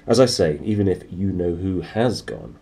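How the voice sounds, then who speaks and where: A man's voice: low-pitched, animated, moderate pace, creaky, mellow, slightly rough, heavily acted. A man voicing a severe, impatient older woman professor in a children's storybook, prim and clipped. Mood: cold, puzzled.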